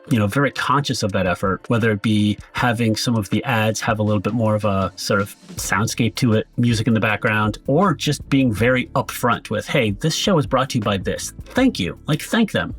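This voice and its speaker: A man answering as a podcast guest in an earnest, explanatory, conversational way. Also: on the low side. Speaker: podcast guest, media company director.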